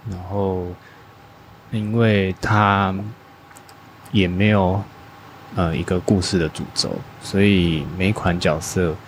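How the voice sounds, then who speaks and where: A man answering interview questions as a guest designer, speaking hesitantly with many pauses and restarts. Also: deep voice, a little hiss or hum.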